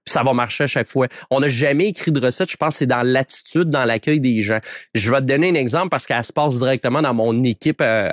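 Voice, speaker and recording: A man's voice: medium pitch, no room sound, studio quality. A man with a Quebec French accent, a business co-owner answering interview questions with hesitant restarts.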